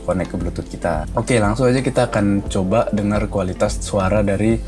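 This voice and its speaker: Low-pitched Indonesian man over clear background music. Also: fairly quick. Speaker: product reviewer. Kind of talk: casual gadget review talking to viewers.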